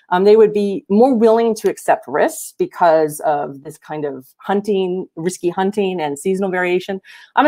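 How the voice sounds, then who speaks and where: A woman's voice: lowish voice, mellow. A woman giving an explanatory research seminar talk over a video call, sometimes repeating words as she goes. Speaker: academic researcher presenting.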